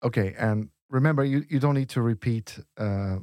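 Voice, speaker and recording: Male language teacher, patient, step-by-step explanations, medium pitch, dry close studio sound. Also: normal speed, a little mellow, dry recording. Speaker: Portuguese teacher. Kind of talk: language lesson dialogue.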